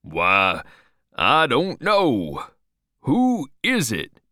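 A woman voicing a slow, puzzled old farmer man. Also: a bass voice, wildly swooping pitch, bright, forceful tone, dry recording, clean studio sound, pitched below the performer's own voice, full character voice.

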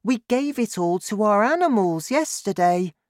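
A woman's voice: medium pitch, lively intonation, moderate pace, a little mellow, no room sound, studio quality, voice pushed up, close to an everyday voice. A woman voicing a polite, earnest young boy in a children's storybook, questioning and pleading in a worried way.

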